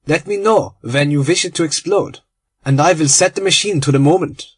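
A man's voice: a little high, brisk, slightly rough, no room sound, studio quality, pitched above the performer's own voice. A man reading aloud, voicing a genial, proud little German bomb-maker in a storybook. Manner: cheerful, effusive, courteous.

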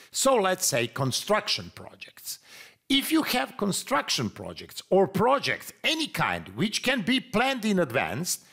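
Croatian accent guy doing a software tutorial, slow careful english, voice jumps up and down a lot. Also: mid-range voice, creaky, husky. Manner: slow, deliberate, non-native phrasing with fillers. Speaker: software trainer. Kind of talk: screen-recorded tutorial.

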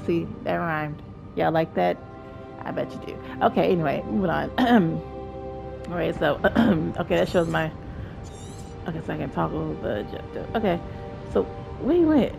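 A young woman streaming a game, chatty and excitable, her voice a bit low and gravelly and stuffed up from a cold. Loud game music runs beneath her talk. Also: normal speed, long pauses. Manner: chatty, excitable, rambling, self-talking. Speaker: gameplay streamer. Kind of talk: casual let's-play commentary.

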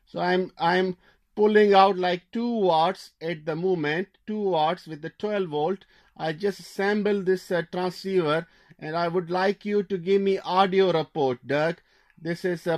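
A man's voice: high voice, steady tone, a little slow. A man working as an amateur radio operator in a contact exchange, repeating phrases and call signs. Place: indoor radio desk.

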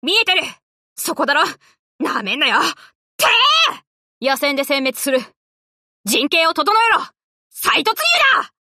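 An actress voicing a brash, cocky but loyal young tomboy warship girl in an anime game, with rough, boyish, casual speech. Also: high-pitched, very animated, unhurried, long pauses, sharp tone, dry recording, clean studio sound, heavily acted. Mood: fierce, commanding. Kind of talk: Japanese anime game character voice lines.

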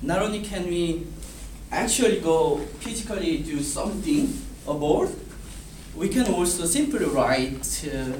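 A man in his thirties with a Korean accent, a native Korean speaker reading a prepared class speech, halting and restarting words. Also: fairly high pitch, lively intonation, a little mellow. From South Korea. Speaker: student giving a speech. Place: classroom in front of a whiteboard. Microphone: far camera. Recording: roomy, distant, noisy classroom audio.

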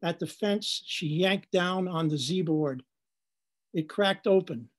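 A man giving a measured literary reading in short deliberate phrases at an online open mic. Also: sits high. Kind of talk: literary reading, descriptive narrative.

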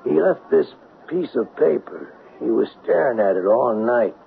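Meek male drifter, heavily creaky, rambling and folksy, 1970s radio play, dull recording. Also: medium pitch, lively intonation, unhurried, a little hiss or hum. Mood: meek, sympathetic. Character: timid, down-and-out male witness, eager to help. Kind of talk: 1970s radio drama acting.

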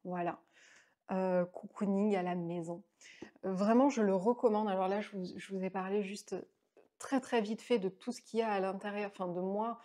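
Chatty woman in her thirties, mellow voice. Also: mid-range voice, steady tone, moderate pace. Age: 30s. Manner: chatty, many fillers and restarts. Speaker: book vlogger. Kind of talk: casual book review to camera. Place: small indoor room at home. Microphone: lapel mic.

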